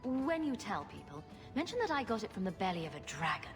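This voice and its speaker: An actress playing a quick-witted, sarcastic rogue adventurer in a video game, cool-headed and glib. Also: female voice, medium pitch, big pitch swings. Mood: joking. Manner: wry, glib. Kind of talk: dramatic game dialogue. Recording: music behind the voice.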